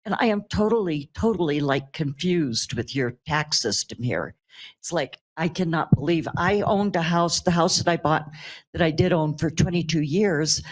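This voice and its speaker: An older woman, a resident, speaking up from the floor at a public meeting in a loud, emphatic and flustered way. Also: deep voice, poor audio. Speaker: resident asking a question. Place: county meeting chamber.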